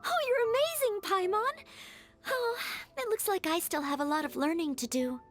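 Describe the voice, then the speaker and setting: A very high, sweet girl's voice from a game, faint music behind it. She sounds earnest, curious and modest. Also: moderate pace. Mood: admiring. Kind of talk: video game voice acting.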